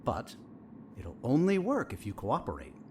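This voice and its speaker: A man acting in an audio drama as a condescending, smoothly menacing doctor, measured and falsely polite. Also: mid-range voice, wildly swooping pitch, a little mellow, slight background noise. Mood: condescending, veiled threat.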